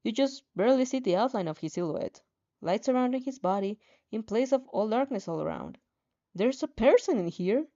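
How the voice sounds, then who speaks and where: Woman, dramatic story narration, playful asides, occasional stumbles, mellow tone, dull lo-fi recording. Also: a little high, lively intonation, normal speed. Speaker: fan fiction reader reacting as she reads. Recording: dull, slightly muffled lo-fi audio.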